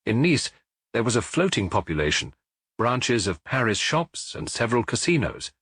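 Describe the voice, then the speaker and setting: A man narrating a detective novel as an audiobook in a measured, expressive way, recorded close to the mic. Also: medium pitch, breathy edge, no echo.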